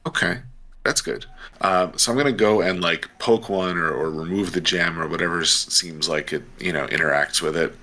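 A man with a very low voice and a crisp, forward edge plays a tabletop game. He talks casually over a video call from home. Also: moderate pace, sharp tone. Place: home room, indoors.

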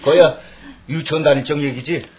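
A man's voice: fairly high pitch, fairly even intonation, normal speed, darkish tone, close to an everyday voice. A man in his thirties playing an easygoing, teasing father in a children's family drama. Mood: playful teasing. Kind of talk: naturalistic family drama acting.

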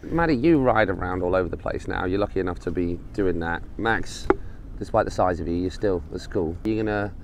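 A man's voice: deep voice, a little fast, background noise. A man hosting a wakeboard vlog, chatting easily and enthusiastically with riders at an outdoor table by a lake. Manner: easygoing, enthusiastic, asks riders questions. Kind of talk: casual chat and voice-over narration. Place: outdoor table at a lakeside water park.

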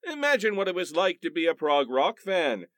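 A man's voice: a little high, sing-song, a little fast, a bit bright, dry recording, clean studio sound. A man playing a mock-dramatic announcer in a parody radio play and sponsor read.

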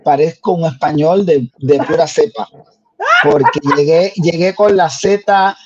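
An older man from Puerto Rico, a guest singer telling his story in an interview, earnest and unhurried. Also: a little high, background noise. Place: home room. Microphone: headset on video call. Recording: dull lo-fi video call audio.

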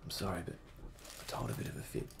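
An actor playing a weary man soothing his partner in a hushed, close-miked film drama. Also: quick pace, noisy background, natural voice. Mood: weary, apologetic. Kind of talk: naturalistic film drama dialogue.

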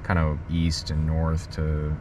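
A man with a deep voice chats casually as a passenger, in a loose, laid-back way. He is inside a moving car, with clear road noise around him. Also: monotone, slow. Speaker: passenger, guest commentator. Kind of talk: casual chat.

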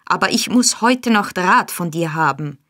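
A woman reading an audiobook, voicing a brutal male burglar who blusters and accuses, raised in pitch and loud. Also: lively intonation, normal speed. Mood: angry. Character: brutal, surly male burglar, angry and demanding. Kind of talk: audiobook character voice.

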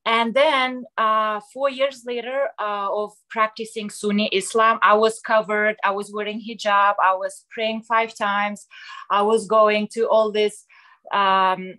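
A young woman from Kazakhstan telling her story on a home video call, halting with many fillers and restarts. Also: medium pitch, level tone, bright, forceful tone. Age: young adult. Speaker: guest sharing her story. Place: small room at home, indoors. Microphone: laptop webcam mic on video call.

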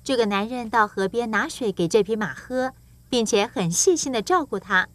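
Highish-voiced woman narrating children's tales. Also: lively intonation, normal speed, a bit bright. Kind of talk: scripted children's story narration.

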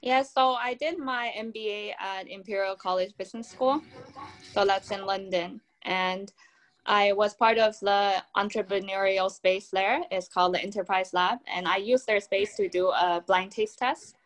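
A woman's voice: mid-range voice, fairly even intonation, moderate pace. A young woman from Taiwan, founder of a drink brand, explaining her product upbeat on a video call from a room at home. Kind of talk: video call panel answer.

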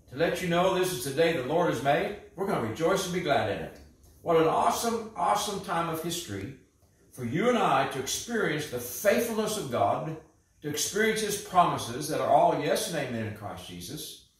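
An older pastor speaks from his living room in an earnest, upbeat, preacherly way. His voice sits a little high and swings between quick bursts and slow, stressed phrases. The camera mic is a few feet off, so the room echoes around him. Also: big pitch swings, far from the mic. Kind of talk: encouraging video message to his church. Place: living room in front of a fireplace, indoors. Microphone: camera a few feet away, no visible mic.

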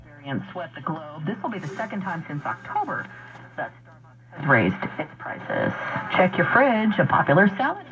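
A man's voice: on the high side, sing-song, normal speed, bright, forceful tone, faint music behind. A man reading broadcast news and ads as an announcer, heard through a tinny old radio speaker.

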